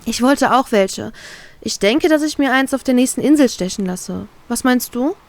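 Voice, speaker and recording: A woman's voice: on the high side, animated, normal speed. A woman reading a first-person fan fiction story aloud, voicing a heartbroken inner monologue.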